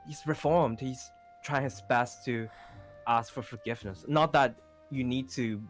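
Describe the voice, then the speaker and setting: A man playing an earnest, lovestruck young best friend in an improvised game roleplay, tender and halting, often repeating his words. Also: mid-range voice, soft background music, lightly acted. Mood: earnest pleading. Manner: halting, repeats words, pads with "you know". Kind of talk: improvised Minecraft roleplay acting.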